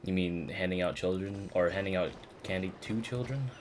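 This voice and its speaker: Deep-voiced, offhand game streamer. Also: moderate pace, noisy background. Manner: offhand, slangy. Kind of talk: casual game stream commentary, reading along. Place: streaming setup, game audio behind.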